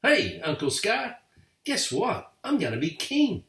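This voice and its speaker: An older man doing Simba, a high eager cub. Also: a little slow, full character voice. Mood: boastful. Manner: high, bouncy and excited. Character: eager boastful young lion cub. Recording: echoey room, far from mic.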